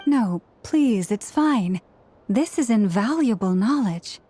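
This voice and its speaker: An actress playing a polite, gentle, studious young female character in a video game, with courteous delivery. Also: medium pitch, expressive, takes it slowly, breathy edge, soft background music. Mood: reassuring.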